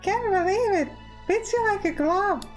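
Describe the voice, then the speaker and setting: A teasing, bossy girl from a visual novel, voiced by a woman, pitched very high. She guides a newcomer briskly, with faint music behind her.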